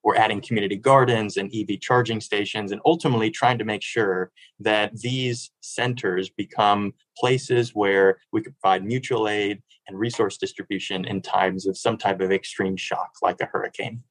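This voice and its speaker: A man's voice: on the low side, fairly even intonation, normal speed, few pauses, no room sound, rough recording. A man in his thirties from Miami, a city sustainability director answering interview questions in an earnest, fluent way with small restarts. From Miami, Florida.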